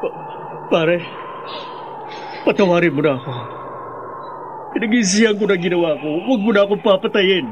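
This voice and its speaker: An actor playing a guilt-ridden, frightened robber in a Filipino radio drama, stammering and pleading. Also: male voice, sits high, normal speed, long pauses, muffled recording, noisy background, lightly acted. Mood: terrified pleading.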